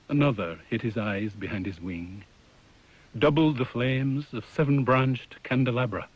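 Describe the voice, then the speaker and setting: A man giving a solemn, deliberate poetry reading. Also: fairly low pitch, slightly rough, lo-fi sound. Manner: measured, deliberate.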